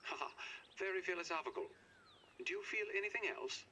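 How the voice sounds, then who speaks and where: An actor playing an impatient, condescending scientist in a game audio log, curt and commanding with dry pomposity. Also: male voice, sits high, brisk, sharp tone, slight background noise. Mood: sly, commanding. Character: impatient, condescending male scientist, dryly sardonic.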